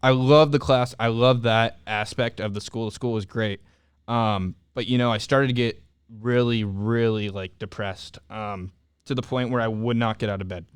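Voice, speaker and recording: A young man in casual interview talk, candidly telling his own story with halting restarts and fillers. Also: lowish voice, fairly even intonation, clean tone, no room sound. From Southern California. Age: young adult. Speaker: returned service missionary guest.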